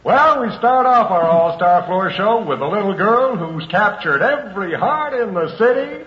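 An actor playing an emphatic, showy emcee and agent in an old-time radio drama. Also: male voice, expressive, moderate pace. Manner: booming showman, emphatic. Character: showy male nightclub emcee who is a federal agent. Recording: echoey, dull old radio recording.

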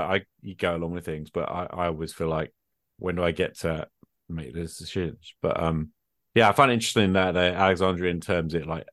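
A man with a low voice chats casually as a guest, recorded dry with little room sound. He rambles informally through his points and often tags them with a quick check-in. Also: a bit bright, no room sound. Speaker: guest, experienced game player. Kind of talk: casual conversational discussion.

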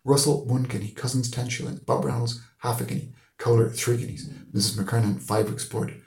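A man's voice: medium pitch, fairly even intonation, a little fast, gravelly, darkish tone. A man with an Irish accent reading literary narration as an audiobook reader. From Ireland. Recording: roomy echo.

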